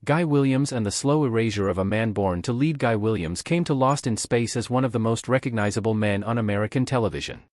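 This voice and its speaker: A man reading scripted documentary narration in a steady, measured storytelling manner. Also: on the low side, no echo, clean studio sound.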